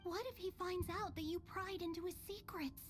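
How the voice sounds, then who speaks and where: A woman's voice: very high-pitched, fairly even intonation, normal speed, faint music behind. A woman voicing a small, innocent, curious child in a video game, with a tentative, wondering way of talking. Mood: curious, hesitant. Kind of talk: scripted game dialogue.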